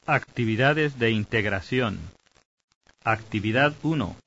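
Man, mid pitch, course announcer, dull recording. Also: measured pace, a bit bright. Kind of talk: announcing lesson activities. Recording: dull lo-fi tape audio.

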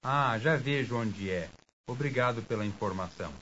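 A man playing a polite American visitor asking for directions in a careful, deliberate language-lesson dialogue. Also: medium pitch, fairly bright tone, close to an everyday voice. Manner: deliberate, clearly enunciated, courteous. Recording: muffled hissy old language tape.

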